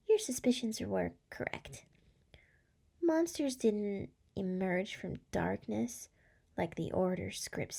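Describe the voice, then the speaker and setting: A woman voicing a seductive, tender demon lord in an intimate ASMR roleplay, speaking softly and coaxingly with a breathy, often whispering voice. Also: medium pitch, heavily acted. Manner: slow, soft, coaxing. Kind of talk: scripted ASMR roleplay, intimate address to listener.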